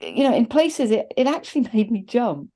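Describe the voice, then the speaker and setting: A middle-aged woman with a soft, mellow tone speaks as a reviewer in a relaxed panel chat. She sounds enthusiastic and keeps it conversational, recorded indoors over a video call. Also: a little high, normal speed.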